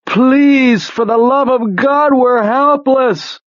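Man acting terrified high-pitched man begging for mercy. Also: fairly even intonation, normal speed, a little mellow, no room sound, dull audio, lightly acted. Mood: panicked begging. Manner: pleading, crying out. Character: terrified, pleading man screaming for mercy in English and French.

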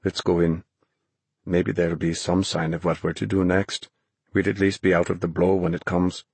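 A man's voice: deep voice, level tone, moderate pace, a little mellow, breathy edge, dry recording. A man voicing a glum, discouraged young man in an audiobook, speaking in a subdued, dejected manner. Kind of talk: audiobook character voice.

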